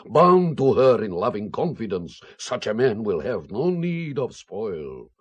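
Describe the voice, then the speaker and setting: Soviet colonel in a radio play, husky, swings all over the place, formal and smug-gallant. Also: male voice, on the low side, sing-song, muffled recording, heavily acted. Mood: courtly wooing. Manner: formal, deliberate, teasingly gallant. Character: courtly, sardonic Soviet KGB colonel and former interrogator, wooing. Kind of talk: audio drama acting.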